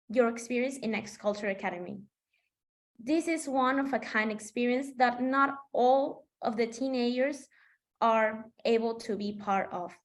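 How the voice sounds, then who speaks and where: A sixteen-year-old girl from Peru presenting slides in an online meeting in a measured, explanatory way. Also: fairly high pitch. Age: teenager, sixteen. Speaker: student presenter. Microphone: video call.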